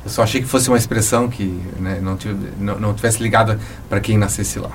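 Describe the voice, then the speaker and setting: A man's voice: on the low side, steady tone, quick pace, a little hiss or hum. A man with a Brazilian accent interviewing a guest casually as the host of a show.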